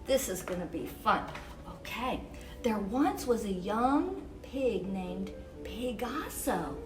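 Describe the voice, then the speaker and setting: Woman, children's story time, slow measured pace, expressive emphasis, exclamatory, soft music behind. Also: medium pitch, distant mic. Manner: expressive, exclamatory, dramatic emphasis on key words. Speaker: children's storyteller and event host. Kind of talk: animated children's picture-book read-aloud. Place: University museum gallery.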